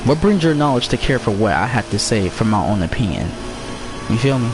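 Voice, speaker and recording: A man's voice: medium pitch, sing-song, a little fast, noisy background. A young man streaming a racing game, chatting loosely with friends and joking as he tells stories. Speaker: gaming streamer. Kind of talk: casual chat while playing a racing game.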